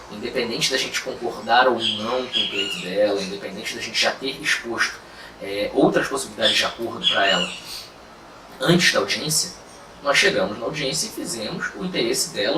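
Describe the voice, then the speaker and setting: Guy from rio, lawyer telling a story, chatty with lots of fillers, echoey room, mic kinda far, noisy. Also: medium pitch. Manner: relaxed, conversational, frequent fillers and asides. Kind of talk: casual storytelling to camera. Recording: roomy, distant mic, clear background noise.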